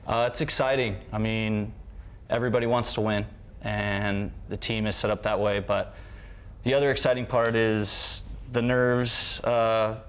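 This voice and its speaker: A man, a soccer coach, answering interview questions in a studio in short, halting phrases. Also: on the low side, steady tone, clear, resonant tone.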